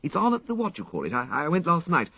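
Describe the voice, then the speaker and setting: A man voicing a fretful, flighty young upper-class English gentleman who dithers and exclaims plaintively, in an audiobook story. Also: on the high side, wildly swooping pitch, quick pace, gravelly, slightly rough, no echo, voice pushed up, exaggerated voice. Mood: eager, rambling. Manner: exclamatory, dithering, drawling dandyish complaint.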